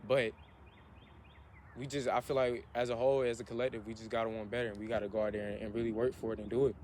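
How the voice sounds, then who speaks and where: A man's voice: mid-range voice, little pitch movement, quick pace, a little mellow. An earnest young man in his twenties talks about self-improvement, looping back over his words as he goes. His voice sits in an ordinary middle range. Faint outdoor ambience and passing traffic hum behind him. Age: 20s. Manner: earnest, repetitive, self-motivating. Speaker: vlogger. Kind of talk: motivational vlog monologue.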